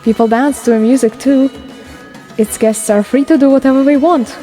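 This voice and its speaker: A woman playing a lively human fighter who tells stories vividly, in a game fandub. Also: high-pitched, moderate pace, faint music behind, lightly acted. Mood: enthusiastic. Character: lively human fixer woman, a keen storyteller. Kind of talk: dramatic game fandub acting.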